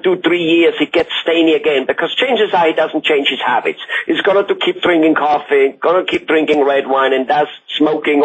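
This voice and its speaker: A man with an Austrian accent, a native German speaker, conducting a conversational interview in a rambling, wordy way. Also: on the high side. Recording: muffled audio with loud background noise.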